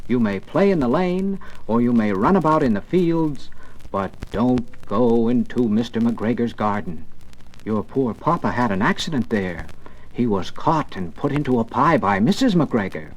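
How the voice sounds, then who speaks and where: A man voicing a gentle but firm storybook mother rabbit, giving instructions in an admonishing, motherly manner. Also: mid-range voice, expressive, normal speed, pitched below the performer's own voice, lightly acted, low-quality recording. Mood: gently admonishing.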